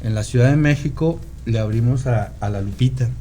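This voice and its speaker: A man with a Guadalajara Mexican accent, a musician guest telling stories in casual conversation, halting and meandering, often seeking agreement. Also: mid-range voice, warm tone, slight background noise. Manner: halting, meandering storyteller who seeks confirmation with "¿no?". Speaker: podcast guest, rock musician.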